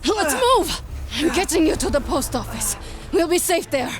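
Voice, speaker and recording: A fierce young Russian woman sniper in a cinematic war game, with a Russian accent and a high-pitched voice. She is terse and commanding, and music plays clearly behind her. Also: very animated, normal speed. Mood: determined.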